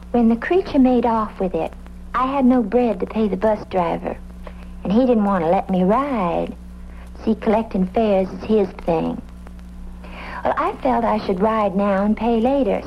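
A woman's voice: medium pitch, lightly acted. An actress playing a serene, eccentric, airy woman witness in an old-time radio drama. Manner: dreamy, airy, calls everyone "love". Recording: muffled, hissy old radio recording.

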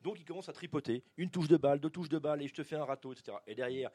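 A middle-aged male football journalist recalling anecdotes, often halting and repeating his words as he goes. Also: fairly high pitch, warm tone, breathy edge, no room sound. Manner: halting, stammering repetitions. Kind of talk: animated anecdotal recollection.

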